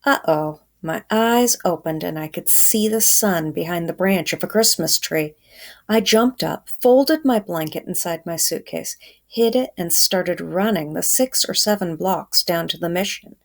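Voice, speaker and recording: A woman's voice: fairly low pitch, measured pace, creaky. A woman voicing a hungry, scrappy boy who tells his own story in a children's storybook read-aloud, in a folksy first-person way. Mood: anxious.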